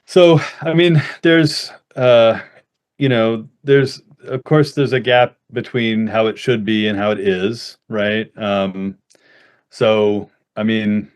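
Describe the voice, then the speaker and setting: Slow, low-voiced man answering academically. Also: steady tone, clean tone. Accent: American. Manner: thoughtful, many fillers and tag "right?". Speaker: invited speaker answering audience questions. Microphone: webinar video call.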